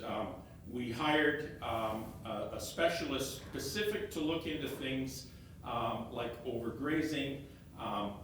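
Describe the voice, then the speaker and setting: A man with a loud voice presents slides to a meeting, stumbling and restarting phrases as he goes. He is heard from some way off in a very echoey room, with a faint rumble underneath. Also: distant mic. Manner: halting, repeats words and restarts phrases. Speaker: elected county councillor. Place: meeting room, stepping back from a fixed mic.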